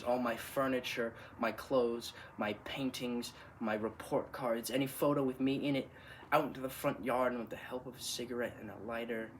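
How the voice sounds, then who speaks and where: A man's voice: mid-range voice, natural voice. Man acting a subdued, wounded son, faintly noisy room. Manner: subdued, earnest, deliberate phrasing. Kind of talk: dramatic monologue performance.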